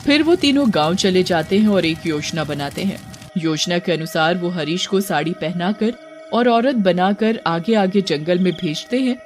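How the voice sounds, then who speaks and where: A man reads scripted story narration in a high-pitched voice. Faint music plays behind him as he tells the tale. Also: lively intonation, moderate pace.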